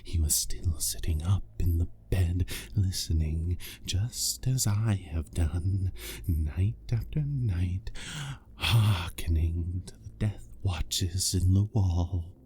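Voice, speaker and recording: A man playing an unhinged, obsessive murderer who narrates a gothic horror tale with menacing, deliberate, drawn-out phrasing. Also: low voice, dark tone, slight background noise, voice pushed down. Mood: hushed, sinister.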